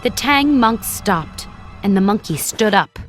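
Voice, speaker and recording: A woman narrating a scripted children's story in a measured, expressive storyteller's manner. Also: medium pitch, faint music behind.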